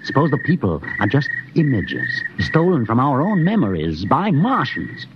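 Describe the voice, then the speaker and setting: An actor playing a haunted, suspicious expedition doctor in a 1950s radio drama, with intense, deliberate dramatic phrasing. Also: male voice, mid-range voice, huge pitch swings. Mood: brooding suspicion. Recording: noisy, dull 1950s radio recording.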